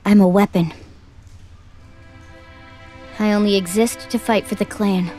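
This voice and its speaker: A woman's voice: medium pitch, fairly even intonation, measured pace, dark tone, soft background music, close to an everyday voice. A woman voicing a withdrawn, resigned young ninja girl in an anime game dub, speaking in clipped, guarded phrases. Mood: cold, resigned.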